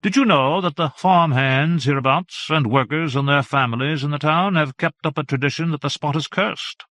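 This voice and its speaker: A man's voice: fairly high pitch, moderate pace, fairly bright tone, no room sound, clean studio sound, pitched above the performer's own voice, close to an everyday voice. A man voicing a chatty old male town scholar who relishes telling local legends. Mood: gossipy.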